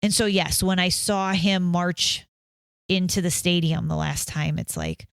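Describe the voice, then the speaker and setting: Candid, chatty woman guest, slightly low voice, dry recording. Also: steady tone, measured pace, creaky, smooth, no room sound. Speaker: guest, band mom of a senior. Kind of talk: casual podcast chat.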